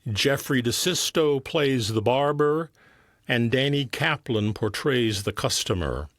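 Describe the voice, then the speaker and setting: A man giving measured, formal scripted narration as the announcer of an audio play. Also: medium pitch, lively intonation, fairly bright tone, dry recording, studio quality. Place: professional recording studio.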